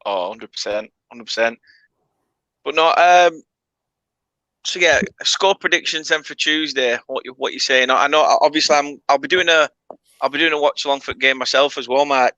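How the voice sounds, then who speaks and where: A northern English man in his late twenties hosts a relaxed video call, bantering and laughing at himself. His voice is bright and forceful, and the sound is close and dry with no room echo. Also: on the high side, moderate pace, lo-fi sound. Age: late 20s. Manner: laid-back, self-mocking banter. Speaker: host. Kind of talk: casual chat on a video call.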